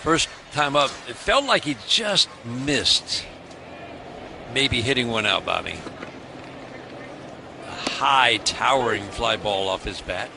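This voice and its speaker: A man's voice: mid-range voice, expressive, slow pace, vocal fry, pauses often. An American man calling a baseball game live as a radio play-by-play announcer. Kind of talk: live radio sports commentary. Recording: stadium ambience noise behind the voice. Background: ballpark ambience.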